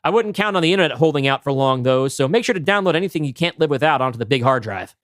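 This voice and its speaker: A man's voice: fairly high pitch, lively intonation, fast, a bit bright, no room sound. Man playing a blunt doomsday-prepper dad, dry sound. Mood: confident, brisk. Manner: blunt, emphatic, self-assured. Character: smug, defensive doomsday-prepper dad in his early forties. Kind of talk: amateur script table read.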